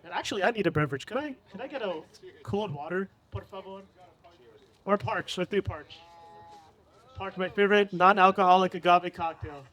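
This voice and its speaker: A man's voice: high-pitched, fairly quick, fairly bright tone. A man hosting a live podcast panel at a cafe event, upbeat and hyping up his guests. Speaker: podcast host.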